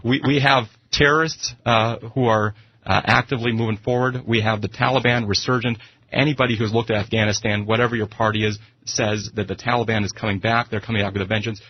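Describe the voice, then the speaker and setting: A man's voice: fairly low pitch, steady tone, fast talker, slightly rough, lo-fi sound. An American man arguing politics as a guest on talk radio, speaking emphatically and repeating phrases to press his point. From United States.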